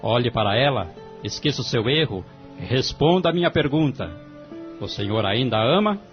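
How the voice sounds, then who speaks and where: A Brazilian man playing a calm, wise family-court judge in an audio drama, speaking in a formal, measured, moralizing way. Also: mid-range voice, close to an everyday voice. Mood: solemn, encouraging. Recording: dull lo-fi audio, music underneath.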